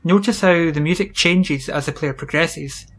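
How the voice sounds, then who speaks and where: A British man hosting an educational video, reading scripted explanations in a measured, instructional way. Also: fairly high pitch, a bit bright. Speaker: video series host. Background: music.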